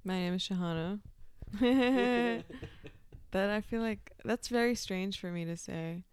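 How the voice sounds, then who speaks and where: A woman from Pakistan, an actor, telling her story in a casual interview with thoughtful pauses and many ums. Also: medium pitch, a little mellow, clean tone, no room sound.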